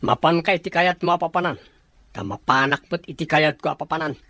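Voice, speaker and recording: A man acting a firm, aggrieved male boss in an Ilocano radio-style drama, with a husky voice. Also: a little high, a little fast, a bit bright, no echo, lightly acted. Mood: agitated, curt.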